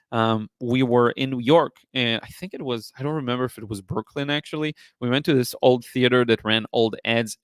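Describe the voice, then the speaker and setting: A man hosting a casual live stream as an art teacher, chatting in a rambling, appreciative way, his voice slightly hoarse from talking. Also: medium pitch, fairly bright tone, no echo. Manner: rambling, appreciative, often thanking viewers. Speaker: live stream host, watercolor painting teacher.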